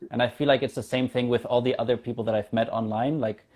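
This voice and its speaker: Casual German-born man, medium-pitched voice. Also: fairly even intonation, fast, a little mellow. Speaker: interview guest, community founder. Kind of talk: casual video-call conversation.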